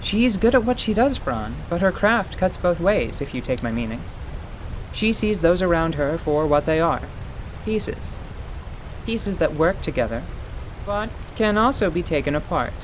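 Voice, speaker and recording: A sardonic, steady adventuring woman played by a man speaks in measured, formal phrases. The voice sits fairly high for a fantasy radio drama, with clear rainy ambience behind it. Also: a little hiss or hum, poor audio. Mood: grave, measured. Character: dry, world-weary adventuring woman, sardonic but steady. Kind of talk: fantasy radio theatre acting. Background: rain and outdoor ambience.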